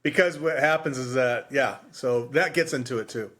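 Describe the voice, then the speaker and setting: A man's voice: medium pitch, normal speed, fairly bright tone. Guy podcast co-host, loose rambly chat, keeps doubling back on his words. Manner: rambling, repeats himself. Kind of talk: casual book discussion.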